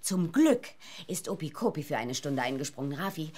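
A woman's voice: low-pitched, big pitch swings, moderate pace, darkish tone. A middle-aged actress playing a busy, worried, caring aunt, motherly and matter-of-fact, in a children's audio drama. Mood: harried.

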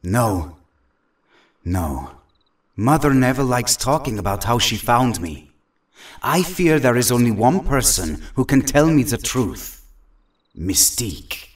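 A man's voice: mid-range voice, sing-song, slow, long pauses, far from the mic. A man playing an earnest, troubled young mutant hero in an audio drama. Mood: troubled, hesitant.

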